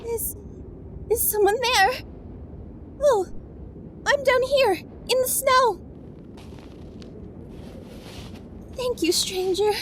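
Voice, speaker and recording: A woman's voice: very high-pitched, takes it slowly, fairly bright tone, slight background noise, full character voice. A woman voicing a tiny, polite female flower fairy in an audio roleplay drama, dainty and prim. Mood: timid, grateful.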